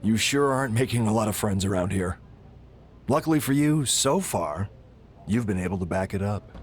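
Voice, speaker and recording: A man's voice: fairly low pitch, expressive, moderate pace, background noise, natural voice. A man playing a wary, dryly diplomatic wrestling show boss in a video game. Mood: dry, placating. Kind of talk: scripted video game cutscene acting.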